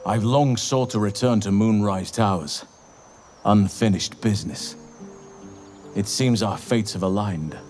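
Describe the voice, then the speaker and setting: A solemn elf druid warrior from a fantasy game, acted by a man. His voice sits fairly low and moves with measured, earnest gravity. Faint music plays behind him. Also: darkish tone, natural voice. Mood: earnest, advising. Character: grave, earnest male elf druid warrior offering counsel. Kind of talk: video game dialogue acting.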